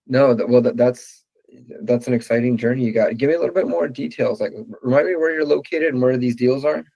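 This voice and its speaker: A man co-hosting a livestream, asking guests questions in a relaxed way, with some stutters. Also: mid-range voice, fairly quick, vocal fry, no room sound, low-quality recording. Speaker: co-host of lending livestream. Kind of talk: casual livestream chat.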